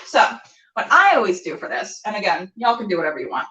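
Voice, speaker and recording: A woman's voice: mid-range voice, big pitch swings, quick pace, sharp tone. A woman hosting a casual, chatty cooking show, rambling playfully and talking to herself as she goes. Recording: echoey room, distant mic, dull sound.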